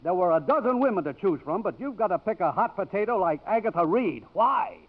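High-pitched blustering chairman, tinny radio. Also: big pitch swings, a little fast. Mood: exasperated. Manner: blustering, exasperated. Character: agitated, blustering male college board chairman. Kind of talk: 1950s radio comedy acting. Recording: tinny old radio broadcast recording.

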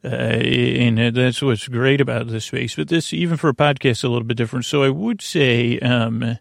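A man hosting a sleep podcast, rambling in a halting, meandering way that circles back and trails off, with a creaky voice. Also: mid-range voice, no echo, studio quality. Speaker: podcast host.

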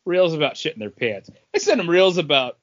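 A man's voice: a little high, big pitch swings, fairly quick, muffled recording. A man in casual podcast chat, joking along with his co-hosts in a droll way.

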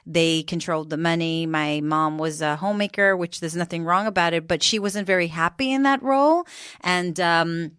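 A woman's voice: fairly low pitch, fairly even intonation, moderate pace, a bit bright, smooth, dry recording, studio quality. A woman in her forties, raised in Israel, speaking with an American accent as a podcast guest, open and candid, laughing easily. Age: 40s. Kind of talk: casual interview chat.

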